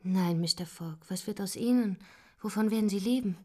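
An actress playing a devoted, gentle gentlewoman in love in a German audio drama, tender and earnest. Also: medium pitch, moderate pace, close to an everyday voice. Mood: concerned, tender.

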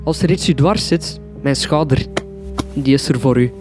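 A man's voice: mid-range voice, normal speed, natural voice. A man playing a timid, lovestruck teenage boy in a radio drama, earnest and hesitant. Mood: concerned. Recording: music behind the voice.

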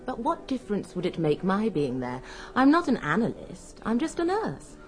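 An actress playing a practical, forthright English nurse who asks direct questions in a radio drama. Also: female voice, medium pitch, wildly swooping pitch, moderate pace. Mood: cautious, practical.

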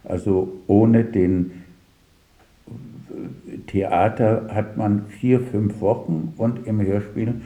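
An older man reflecting on his work as a radio drama director in an interview, pausing and searching for words as he recounts anecdotes. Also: lowish voice, steady tone, mellow, echoey room. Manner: halting, searching for words, pausing mid-sentence.

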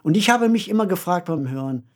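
A man's voice: high-pitched, expressive, fairly quick. A man co-hosting a conversational discussion, speaking in an emphatic, reflective storytelling way. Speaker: podcast co-host and author. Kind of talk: conversational discussion of a radio play.

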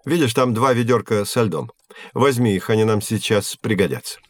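An actor playing a patient male physics professor in an educational audio drama, explaining clearly and didactically. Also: fairly low pitch, normal speed, a little hiss or hum, close to an everyday voice. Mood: brisk, demonstrating.

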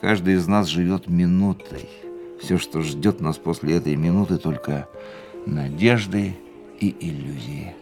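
An actor playing a fatalistic, world-weary racing driver in a Russian radio drama, speaking in a measured, reflective way. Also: male voice, low-pitched. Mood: weary, philosophical. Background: music.

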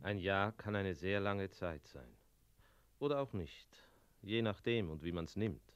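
An actor playing a wistful talking tomcat, narrating a radio play in a measured, musing way. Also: male voice, low voice, expressive, smooth, dull audio. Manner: measured, musing, punctuated with meows.

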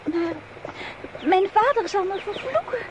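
A woman's voice: very high-pitched, animated, unhurried. A woman playing a sweet, coy young country bride in a Dutch radio play, hesitant and repeating her words. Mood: coy, flustered. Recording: dull, noisy radio play recording.

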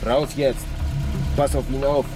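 An actor playing a rough, threatening male kidnapper with a gun in a radio play. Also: mid-range voice, flat delivery, a little slow, very noisy, close to an everyday voice. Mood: gruff. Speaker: armed kidnapper.

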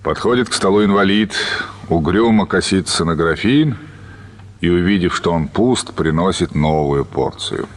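A man's voice: sits low, expressive, normal speed. A man reading literary narration as the storyteller of a radio play.

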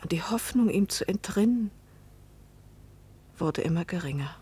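An actress in a German radio play voicing a lonely, fearful, resigned wife, breathy and often hushed. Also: female voice, fairly low pitch, animated, normal speed, a little hiss or hum, natural voice. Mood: melancholy, reflective. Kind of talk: German radio play acting with first-person narration.

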